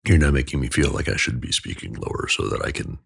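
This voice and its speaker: A man hosting a podcast interview with a very low, gravelly voice, asking friendly questions. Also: fast, no echo, clean studio sound. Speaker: podcast host.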